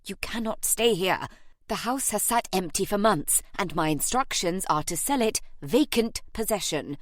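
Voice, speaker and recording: A woman's voice: medium pitch, animated, normal speed, no room sound, lightly acted. Storybook estate agent, female narrator acting: stuffy man, scolding and embarrassed, close dry sound. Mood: pitying, exasperated. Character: flustered, exasperated, pompous male estate agent.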